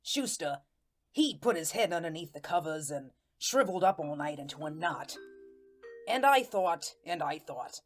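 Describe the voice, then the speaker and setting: A woman playing a wistful, lovesick little male ghost who narrates a radio drama in folksy, rambling slang. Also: fairly low pitch. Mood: wistful, musing.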